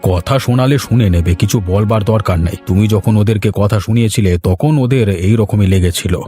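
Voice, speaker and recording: A man's voice: on the low side, brisk, warm tone, faint music behind, natural voice. A man voicing a frank, familiar older villager in a cartoon story. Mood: sober, regretful. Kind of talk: Bengali moral-story cartoon voice acting.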